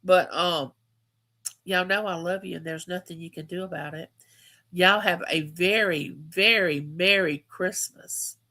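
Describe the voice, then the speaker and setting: A chatty woman in her fifties hosts a relaxed livestream, swapping stories with her viewers. Her voice is bright and sits a little low, and she leans on repeated phrases for emphasis. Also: unhurried. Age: 50s. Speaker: livestream host. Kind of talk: casual live chat.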